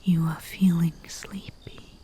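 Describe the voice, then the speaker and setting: A woman playing a humble, soothing herbalist in a soft-spoken ASMR roleplay, with a breathy whisper and a hushed manner. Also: lowish voice, little pitch movement. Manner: slow, hushed, soothing, with long pauses. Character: humble female herbalist, soothing and deferential servant to nobility. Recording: faint hiss with chirping night crickets. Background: crickets and night insect ambience.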